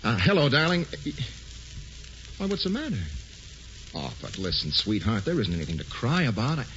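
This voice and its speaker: An actor playing a brash, wisecracking newspaper reporter in a 1940s radio play. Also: male voice, medium pitch, very animated, normal speed, fairly bright tone, slight background noise. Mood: pleading. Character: brash fast-talking male newspaper reporter. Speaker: lead actor. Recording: dull 1940s radio broadcast.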